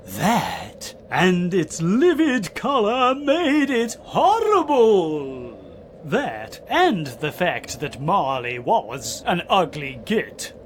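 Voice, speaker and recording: A man's voice: sits high, huge pitch swings, very slow, slight background noise. A British man narrating a comic parody audiobook in a hammy, mock-spooky storytelling style, with muttered asides.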